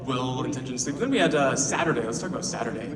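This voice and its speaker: A man's voice: mid-range voice, expressive, fast talker, far from the mic. A man co-hosting a convention ceremony in hesitant casual chat. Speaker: convention co-host. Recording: echoey hall, crowd behind.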